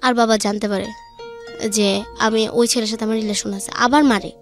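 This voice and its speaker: A woman's voice: a little high, fairly even intonation, normal speed, soft background music. A young woman with a Bangladeshi accent, a guest telling her own life story in informal speech. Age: young adult.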